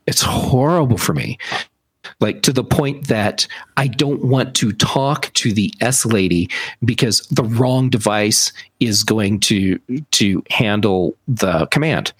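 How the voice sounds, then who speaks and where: Male podcast co-host, casual and explanatory. Rough-toned voice, deliberate phrasing with pauses, very dry sound. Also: lowish voice, dry recording. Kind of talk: casual tech podcast chat.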